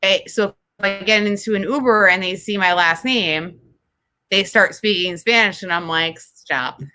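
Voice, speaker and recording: A woman's voice: mid-range voice, moderate pace, sharp tone, clear, resonant tone. A woman with a New Jersey accent co-hosting a casual chat show, talking bluntly, swearing and laughing often. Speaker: podcast co-host.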